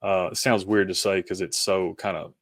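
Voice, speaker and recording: A man speaking as an interview guest, reflective and conversational, thinking aloud in halting, thoughtful phrases. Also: low-pitched, fairly even intonation, no room sound, clean studio sound.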